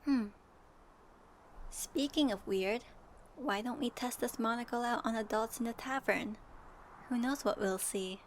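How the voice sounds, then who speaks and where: A woman playing a gentle, thoughtful young swordswoman traveler, speaking softly to the listener in a fan audio roleplay. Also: fairly high pitch, unhurried, pauses often, a little hiss or hum, lightly acted. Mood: curious. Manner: soft, reflective, addresses listener directly.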